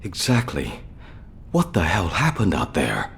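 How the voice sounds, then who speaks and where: A brusque male doctor, low in pitch with lively, varied intonation. He is blunt, clinical and concerned, in dramatic video game style with a faint rumble behind. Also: unhurried, creaky, full character voice. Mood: urgent.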